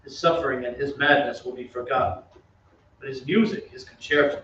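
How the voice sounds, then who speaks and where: An actor playing an earnest, ardent young opera singer who also narrates an old-time radio drama. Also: male voice, medium pitch, steady tone, fairly quick, a bit bright, natural voice. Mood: reflective. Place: theatre stage. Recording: very echoey stage, distant, muffled, faint noise.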